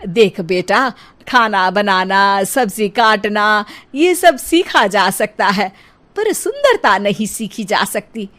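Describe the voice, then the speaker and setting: A woman voicing a proud, doting middle-aged mother-in-law in a story, chiding in a drawn-out way. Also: medium pitch, expressive, fairly bright tone.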